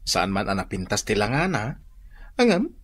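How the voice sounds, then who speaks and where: A man's voice: fairly low pitch, huge pitch swings, normal speed. A man playing a loyal, slightly boastful young husband in a comedy radio drama, bantering conversationally. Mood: defensive, proud.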